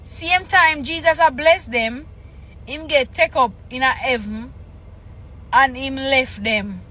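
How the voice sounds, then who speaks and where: Slow, high-voiced Jamaican woman. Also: sharp tone, poor audio. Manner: incredulous, laughing, emphatic repetition. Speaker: reaction video host. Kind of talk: casual reaction commentary, reading aloud.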